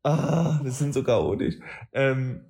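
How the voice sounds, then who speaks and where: A man's voice: medium pitch, lively intonation, takes it slowly, a little mellow, breathy edge. A man with a Saxon German accent chatting casually on a podcast, rambling, correcting himself and using blunt slang. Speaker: podcast co-host. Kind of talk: casual chat.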